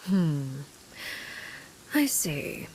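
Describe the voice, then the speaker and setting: A woman voicing a cold, composed female leader in a video game, measured and formal with a quiet, ironic half-whisper. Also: low-pitched, big pitch swings, slight background noise, exaggerated voice, poor audio. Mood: guarded. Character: cold, composed woman leading an orphanage of agents.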